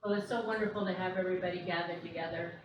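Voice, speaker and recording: A woman's voice: fairly low pitch, fairly even intonation, very fast, highs cut off, background noise. A woman from Wisconsin, hosting a home gathering and chatting casually with fillers. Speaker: host. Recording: echoey room from far off with gathered people.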